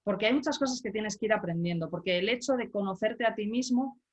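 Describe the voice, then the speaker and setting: A middle-aged woman from Galicia, a leadership coach, answering interview questions over a video call in a measured, explanatory way with small restarts. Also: mid-range voice, slightly rough. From Galicia, Spain.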